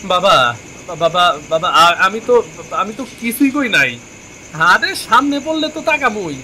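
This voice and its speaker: A man's voice: high-pitched, sing-song, moderate pace, pushed and bright, a little hiss or hum, full character voice. A man playing a timid, lovesick young fishmonger in a cartoon, pleading and hesitant, with an eastern Bengali accent. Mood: flustered protest. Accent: eastern Bengali (Bangladeshi). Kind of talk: dramatic cartoon voice acting.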